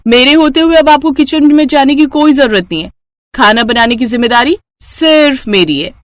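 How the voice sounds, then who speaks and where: Young bride for a family cartoon voiced by a female narrator: sweet, earnest, eager to please, raised pitch. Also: moderate pace, no room sound, studio quality, lightly acted.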